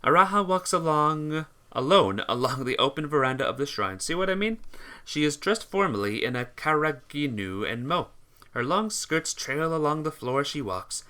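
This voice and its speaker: A man narrates a visual novel in an informal amateur read-aloud. His voice is bright and slightly high, with lively intonation, and he trips over words now and then. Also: moderate pace. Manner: stumbles over words and rereads phrases.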